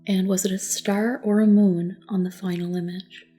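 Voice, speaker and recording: A soft, breathy woman gently giving calm, reassuring instructions in an ASMR roleplay, often dropping to a whisper. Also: medium pitch, moderate pace, faint music behind. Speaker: ASMR roleplayer as a test examiner. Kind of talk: soft-spoken ASMR personal attention roleplay.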